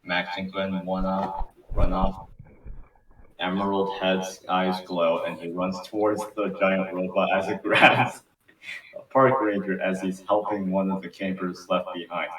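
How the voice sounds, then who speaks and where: A man's voice: deep voice, flat delivery, measured pace, fairly bright tone. A man narrating a script at a casual table read, informal and sweary, stumbling over words. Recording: echoey room, distant mic, background noise.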